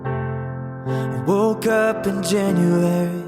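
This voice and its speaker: A woman singing a wistful, slow ballad about as a sung performance with musical accompaniment. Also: mid-range voice, steady tone, loud music behind the voice. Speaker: singer.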